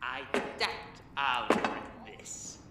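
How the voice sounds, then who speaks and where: A man's voice: mid-range voice, animated, takes it slowly. A man playing a bitter, disgraced would-be ruler prone to shaky, resentful outbursts. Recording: echoey, distant, noisy.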